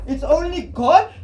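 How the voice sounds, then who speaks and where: Slow-talking South African comedian on stage. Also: male voice, extremely high pitch, heavy background noise. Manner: punchy, teasing the crowd. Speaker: stand-up comedian. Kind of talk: stand-up comedy set. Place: comedy club stage with live audience. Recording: echoey club, loud crowd laughter. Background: crowd laughing.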